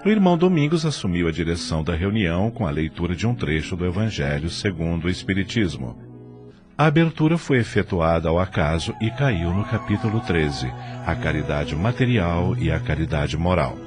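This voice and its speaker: Male storyteller, Brazilian, deep and expressive, solemn scripted narration over faint music. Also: normal speed. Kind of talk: scripted audio drama narration.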